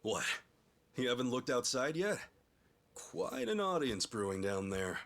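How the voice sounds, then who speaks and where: A man's voice: medium pitch, very animated, unhurried, a bit bright. An actor playing an easygoing, sincere, loyal man in a video game cutscene, with an American accent and informal, earnest delivery. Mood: wry, casual. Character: easygoing, loyal, sincere adult man, a yakuza's forgiving pal. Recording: music behind the voice.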